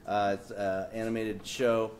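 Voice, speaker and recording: Wry, halting man on a panel. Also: lowish voice, little pitch movement, a little hiss or hum. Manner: wry, joking, halting with many fillers. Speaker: panelist, political cartoonist and writer. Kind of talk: casual panel talk presenting his work.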